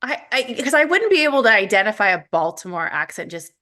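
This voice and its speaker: A woman co-hosting an interview podcast, playful and quick with interjections. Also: medium pitch, very animated, sharp tone. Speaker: podcast co-host. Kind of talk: casual interview chat.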